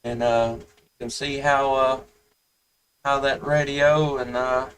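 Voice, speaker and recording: A man with a Southern US accent talks in a folksy, halting manner, as in a casual ham radio exchange. His voice sits at an ordinary male pitch and is picked up a little way from the microphone. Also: level tone, fairly bright tone, clear, resonant tone, far from the mic, poor audio. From Tennessee, United States. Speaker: amateur radio operator, live streamer.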